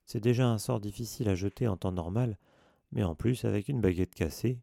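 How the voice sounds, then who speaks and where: A man's voice: on the low side, fairly quick, gravelly, mellow, no echo, clean studio sound. A man voicing an anxious, studious young witch girl in an audiobook, speaking earnestly with a slightly raised voice. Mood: worried.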